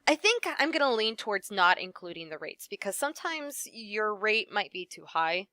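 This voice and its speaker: A woman voice actress, a guest in a casual interview, giving thoughtful advice and often trailing off softly at the ends of her points. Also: medium pitch, very animated, normal speed, dry recording.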